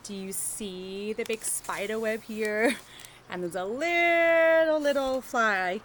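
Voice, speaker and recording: A woman teacher doing an animated read-aloud of a picture book for young children, chatting and asking them questions as she goes. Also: fairly high pitch, slow, slight background noise. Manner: engaging the children with questions and tag questions.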